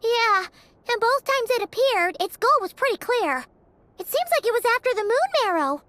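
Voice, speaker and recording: A tiny fairy girl chirps in a very high, squeaky voice that bounces up and down. She sounds childlike and eager, like a cute video game companion. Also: big pitch swings, moderate pace, fairly bright tone, no echo, exaggerated voice. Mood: earnest. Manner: speaks of herself in the third person. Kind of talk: video game cutscene acting.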